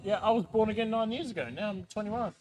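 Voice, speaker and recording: A drunk man in his twenties rambling and joking deadpan in casual banter on a vlog. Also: high-pitched, quick pace. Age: 20s. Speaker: vlog co-host.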